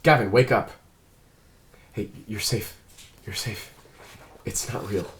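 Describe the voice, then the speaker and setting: Guy doing a reserved android detective, really low voice, formal and careful, bit of noise behind. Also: fairly bright tone. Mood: urgent. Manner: measured, formal, precise phrasing. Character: earnest, reserved male android police detective partner. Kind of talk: table read of a drama script.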